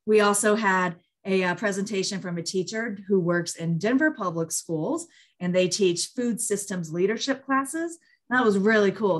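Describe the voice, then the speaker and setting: A woman hosting an online group call, presenting in an informal, conversational webinar style. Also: mid-range voice. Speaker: host of an online monthly call.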